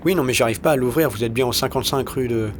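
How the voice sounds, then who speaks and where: A man's voice: medium pitch, quick pace, slight background noise, natural voice. A man voicing a polite courier addressing customers in an audiobook, in an everyday manner. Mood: apologetic. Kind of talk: acted dialogue in an audiobook.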